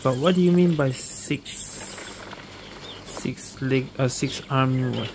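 A woman's voice: rumbling low, slow pace, very noisy. A woman doing casual gameplay commentary, thinking aloud and repeating herself. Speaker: gaming streamer. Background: game music.

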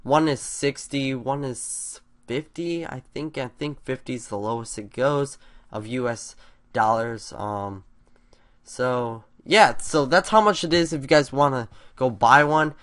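A man's voice: medium pitch, a little slow, a bit bright. A young man with a stuffy, congested voice, rambling eagerly to his viewers in a casual vlog at his computer. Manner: rambling, filler-heavy, eager. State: congested from a cold. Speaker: YouTuber. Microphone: desktop USB mic over screen recording.

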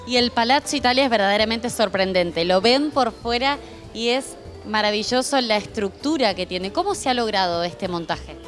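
A woman's voice: a little high, animated, normal speed, soft background music. A woman TV reporter, eager and presenter-like, interviewing at an exhibition. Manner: enthusiastic, presenter-like.

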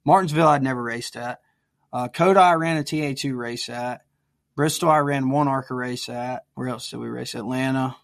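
A man's voice: medium pitch, moderate pace, vocal fry, no echo, studio quality. A young man, a racing driver hosting a podcast, talking casually. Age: young adult. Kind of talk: casual chat.

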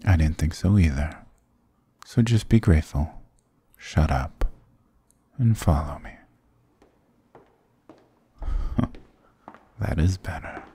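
A man's voice: expressive, a little slow, long pauses, mellow, dry recording, full character voice. A man playing a sarcastic, dominant demon king in an intimate ASMR roleplay, smug, teasing and condescending, often slipping toward a whisper. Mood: scornful, commanding, then mocking. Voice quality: very deep, gravelly, breathy. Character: sarcastic, dominant male demon king, playfully toying with captive.

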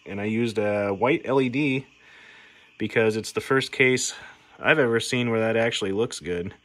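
A man's voice: fairly low pitch, moderate pace, a bit bright. A man from the United States giving a casual, plainspoken, matter-of-fact demonstration as a radio repair hobbyist. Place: indoor workshop at a workbench.

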